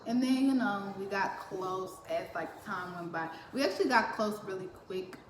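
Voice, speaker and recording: A woman's voice: medium pitch, moderate pace. A teenage girl chatting with her cousins, telling a story with lots of laughter and acted-out quotes. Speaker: vlogger. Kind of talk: casual storytime chat. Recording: roomy echo, faint hiss.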